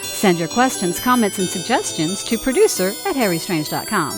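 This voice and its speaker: Woman announcer with a lively, formal read over a music bed. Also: mid-range voice, moderate pace. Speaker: show announcer. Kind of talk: scripted credits reading.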